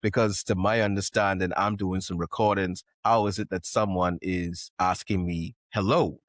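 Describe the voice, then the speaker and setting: A lowish male voice hosting a podcast in loose, casual chat. He rambles, fills his speech with "like" and laughs often. The sound is dry and close, with no room around it. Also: fairly even intonation, moderate pace, no room sound. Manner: rambling, heavy use of "like", laughs a lot. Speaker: podcast host.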